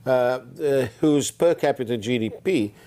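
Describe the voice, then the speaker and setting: A man from Sri Lanka hosting a studio interview, speaking haltingly with many fillers and restarts. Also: mid-range voice, vocal fry, dark tone. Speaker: talk show host.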